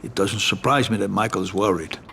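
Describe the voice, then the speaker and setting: A man's voice: fairly low pitch, a little fast, vocal fry, fairly bright tone, a little hiss or hum. A man playing a shrewd, level-headed mafia family adviser in a video game cutscene, speaking in a matter-of-fact, advisory way. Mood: measured warning. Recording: music under the voice.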